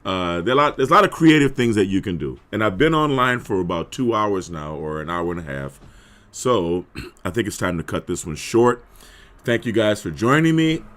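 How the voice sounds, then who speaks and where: A man with a very low, hoarse voice chats loosely on a live stream, rambling a little and clearing his throat often. His talk is relaxed and unhurried. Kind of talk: casual live stream chat.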